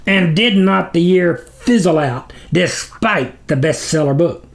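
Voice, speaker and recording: A man's voice: animated, unhurried, creaky. A man voicing a cruel, impatient demon overlord for an audiobook story, roaring pompous insults with thunderous disdain. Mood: disdainful. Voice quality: booming, roaring.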